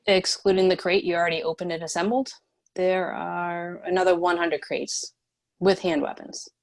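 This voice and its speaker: A guarded, resolute woman leading a resistance, played by an actress at a table read, speaks in a lowish voice. She is matter-of-fact and restrained, with grief held under the surface in an understated screen-drama style. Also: steady tone, normal speed, close to an everyday voice. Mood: businesslike, wary.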